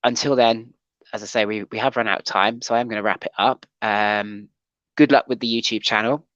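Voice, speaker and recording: A man's voice: on the low side, steady tone, fairly quick, fairly bright tone, dry recording, muffled recording. A man hosting a casual livestream chat show, talking with many ums and restarts. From Wales. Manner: hesitant, full of ums and restarts.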